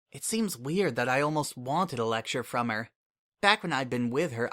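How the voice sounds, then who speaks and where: A man giving a steady, expressive dramatic reading as the first-person narrator of a story. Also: medium pitch. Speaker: reader. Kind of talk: dramatic audiobook reading of fan fiction.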